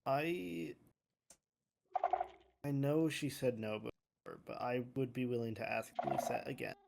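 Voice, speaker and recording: A roleplayer speaking tentatively in halting phrases, improvising in-character dialogue. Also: mid-range voice, fairly even intonation, vocal fry, background noise. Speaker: roleplayer in a Minecraft court drama.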